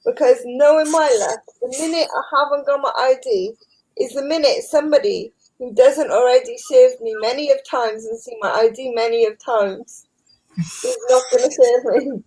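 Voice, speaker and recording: A woman's voice: high voice, normal speed, a little mellow, poor audio. A woman in her thirties, a guest on a live stream, chatting casually and telling small stories. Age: 30s. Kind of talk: casual chat.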